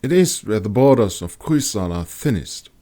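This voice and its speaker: A man voicing a thoughtful, grave woman explorer who muses in a measured way in an audiobook. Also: lowish voice, very animated, dark tone, voice pushed up. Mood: musing. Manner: musing, measured. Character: thoughtful woman in fantasy expedition, musing gravely.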